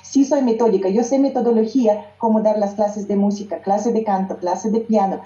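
Russian-accented woman, dark, echoey. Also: medium pitch, steady tone, normal speed. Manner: hesitant, many restarts, searching for words. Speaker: guest singer and music teacher. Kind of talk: interview conversation. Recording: roomy echo, dull lo-fi sound.

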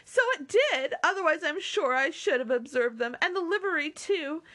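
A woman voicing an excitable, self-important young gentlewoman who gushes in exclamations, in a novel reading. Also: high-pitched, normal speed, voice pushed up. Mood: excited, self-justifying. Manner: gushing, exclamatory.

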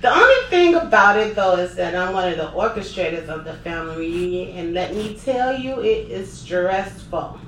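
A woman's voice: on the low side, very animated, normal speed, few pauses, fairly bright tone. A middle-aged woman co-hosting a casual chat show, telling stories with lots of laughter. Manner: laughing, chatty storyteller. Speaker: co-host. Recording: roomy, a bit distant, faint noise.

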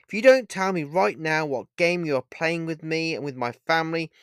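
A man voicing a heartbroken, furious young woman who shouts and nearly screams. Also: medium pitch, very animated, slow pace, no echo, studio quality.

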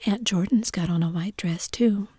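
An eager, well-behaved little girl, whispered by a woman. The whisper is breathy and soft, and the sound is close and dry. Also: medium pitch, moderate pace, no room sound, close to an everyday voice. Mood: calm.

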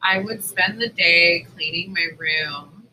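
Podcast co-host, male, higher-pitched and very bright, self-deprecating and jokey, faint noise behind. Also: slow, low-quality recording. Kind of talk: casual chat.

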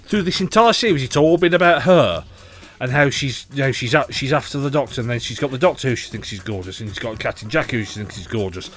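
A man co-hosting a podcast in casual chat, animated and opinionated, quick to joke. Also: mid-range voice. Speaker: podcast co-host.

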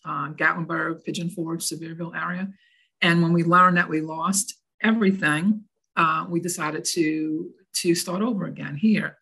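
A woman's voice: on the low side, a bit bright, husky, poor audio. A woman in her sixties from New Orleans with a New Orleans accent, chatting as an interview guest and telling her story with easy laughs. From New Orleans, Louisiana. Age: 60s. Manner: chatty, laughs easily, adds "okay?" and "right?".

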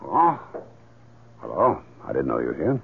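An actor playing a troubled, obsessed husband in an old radio drama, halting and intent in his delivery. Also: very deep voice, huge pitch swings, gravelly, a little hiss or hum, heavily acted. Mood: preoccupied. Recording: lo-fi narrow-band vintage radio recording.

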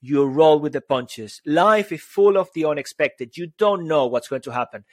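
A man with a Venezuelan Spanish accent co-hosting a podcast, energetic and playful in casual chat. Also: normal speed, dry recording, studio quality. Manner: energetic and playful, exclaims "boom". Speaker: podcast co-host. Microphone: studio microphone.

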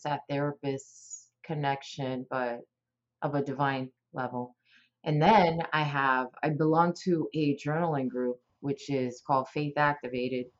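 A woman in her forties from South Florida, a teacher talking as a podcast guest in an enthusiastic, rambling way. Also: sits low. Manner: warm, rambling and enthusiastic, with many fillers and restarts. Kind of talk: casual interview chat. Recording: dull, lo-fi podcast audio.

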